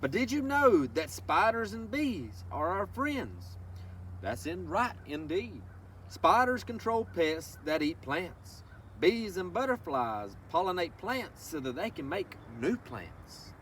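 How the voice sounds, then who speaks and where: Male children's read-along presenter, unhurried and very animated, folksy direct address, recorded a little far from the mic. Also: high-pitched. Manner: instructive, addresses listeners directly with folksy asides and questions. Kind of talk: reading a picture book aloud with asides to children. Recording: distant microphone with faint background noise.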